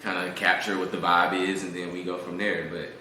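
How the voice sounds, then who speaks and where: A man's voice: low-pitched, level tone, fairly quick, pushed and bright. A man chatting casually as an interview guest, candid and slangy, laughing easily. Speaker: singer-songwriter, interview guest. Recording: echoey room, faint background noise.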